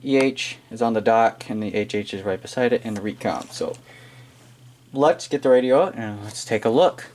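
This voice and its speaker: An American man giving a casual, halting, off-the-cuff hands-on product demonstration as he unboxes it on camera. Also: medium pitch, a little mellow. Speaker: amateur unboxing video maker. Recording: noisy amateur room recording with clicking.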